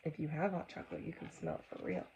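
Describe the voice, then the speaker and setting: A woman reading a storybook aloud for children, gently engaging them with asides and questions. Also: deep voice, steady tone, fast, creaky, background noise.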